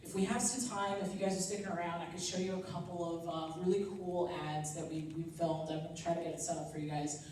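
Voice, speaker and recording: A woman's voice: lowish voice, fairly even intonation, quick pace, a little mellow, slight background noise. Young woman speaking in an echoey room. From Long Island, New York. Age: young adult. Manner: upbeat and earnest, with frequent "um" and "like". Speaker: political organizer giving a training talk. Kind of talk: speech at a training event. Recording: echoey room, voice picked up from far off.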